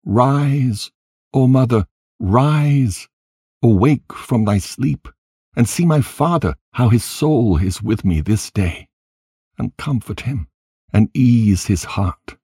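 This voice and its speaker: A man's voice: medium pitch, animated, slow pace, dark tone, husky, dry recording, clean studio sound, voice pushed up, full character voice. A man reading scripture dramatically as an anguished young son, crying out and imploring in mourning. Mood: anguished pleading. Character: anguished young son pleading at his mother's grave.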